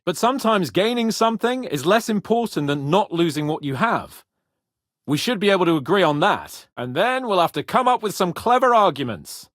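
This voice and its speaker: Cocky, high-voiced young nobleman, very animated. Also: no room sound, clean studio sound. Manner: pompous, spirited, swearing. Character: cocky young Bohemian noble lord, boastful, foul-mouthed, eager. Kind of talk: video game voice acting.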